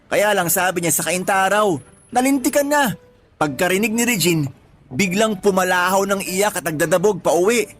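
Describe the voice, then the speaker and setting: An actor playing a harried young husband, complaining in a radio drama. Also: male voice, sits high, normal speed, a bit bright. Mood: exasperated. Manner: exasperated, complaining. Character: harried young husband, exasperated and put-upon. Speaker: husband of a pregnant partner. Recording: faint music bed behind voice.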